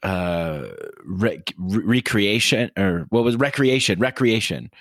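A man hosting a podcast who asks questions haltingly, searching for words and repeating himself. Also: lowish voice, a bit bright, dry recording, clean studio sound. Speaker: podcast host. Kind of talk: casual podcast conversation.

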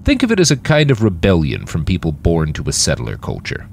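A man narrating plays a stern post-human driver, proud of his rolling city. His low voice is firm and explanatory, very lively in pitch, over faint noise in a fiction podcast. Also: brisk, gravelly, heavily acted. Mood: matter-of-fact.

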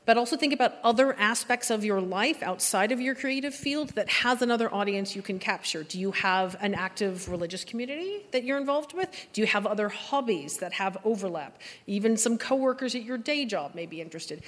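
A woman's voice: medium pitch, lively intonation, fairly quick, few pauses, fairly bright tone. A woman giving practical advice as a guest on a live panel. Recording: echoey hall with long reverb.

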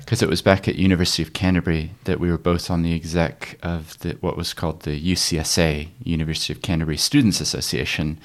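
A man's voice: low-pitched, little pitch movement, fast talker, hardly any pauses. A middle-aged man hosting a conversational interview podcast.

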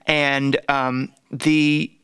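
A man's voice: medium pitch, level tone, extremely slow, a bit bright, no echo. A man co-hosting a casual chat, talking with gushing enthusiasm. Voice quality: says he has a croaky voice. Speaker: podcast co-host.

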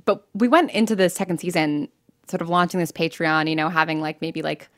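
A woman's voice: fairly low pitch, a little fast, no room sound, clean studio sound. An American woman telling her own story casually as an interview guest, chatty and self-deprecating, often quoting her own thoughts. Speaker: interview guest, podcast writer and producer.

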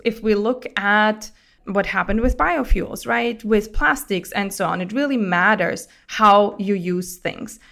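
Enthusiastic Austrian-born woman explaining her work. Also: mid-range voice. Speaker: company founder, guest. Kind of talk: interview answers.

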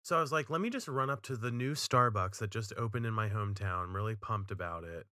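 A man co-hosts a casual podcast from his home studio, telling everyday stories with theatrical, mock-outraged flair. His voice is bright and punchy, and the recording is dry, with no room echo. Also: fairly low pitch, fast talker. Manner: animated, dramatic storytelling with mock outrage. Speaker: podcast co-host. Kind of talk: casual chat.

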